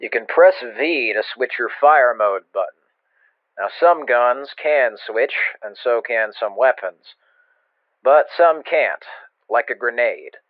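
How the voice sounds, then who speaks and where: A man's voice: medium pitch, animated, slow, no echo, phone-quality sound. A man playing an impatient, sardonic military instructor who gives casual game tutorial directions over a radio. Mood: wry. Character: impatient, wry male military training instructor. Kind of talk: video game tutorial narration. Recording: thin, dry radio-like band-limited sound.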